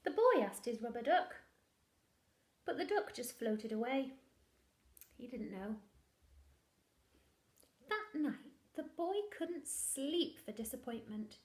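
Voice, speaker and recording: A woman reading a picture book aloud to young children like a primary teacher, in an expressive, deliberate way with hushed asides. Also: on the high side, roomy sound.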